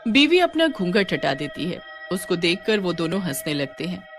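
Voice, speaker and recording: A woman's voice: on the low side, sing-song, normal speed, a bit bright, faint music behind. A woman reading scripted narration for a cartoon story.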